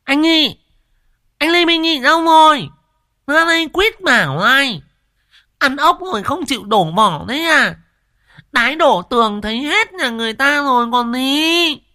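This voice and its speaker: A man voicing a brash, hot-tempered village woman who shouts bossy demands in a shrill voice. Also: lively intonation, unhurried, no echo, clean studio sound, heavily acted. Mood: bossy loud.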